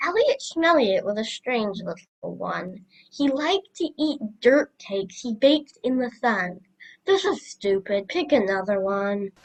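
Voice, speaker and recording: Girl acting snarky big sister, high voice, really animated, kids radio play. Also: slow pace, a little hiss or hum, heavily acted, rough recording. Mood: sulky, bored. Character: bored, snarky, easily grossed-out older sister. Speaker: student radio play actor.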